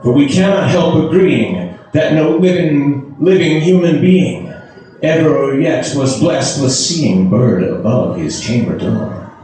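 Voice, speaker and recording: A man giving a dramatic, theatrical poetry reading with deliberate phrasing and pauses. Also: fairly high pitch, animated, dark tone, faint music behind. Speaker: reader of a poem. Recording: very echoey, crowd-like background sound.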